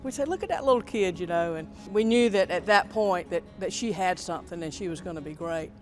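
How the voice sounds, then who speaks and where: A man, a former high school teammate, telling a relaxed story in an interview. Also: fairly quick, faint music behind. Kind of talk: interview recollection.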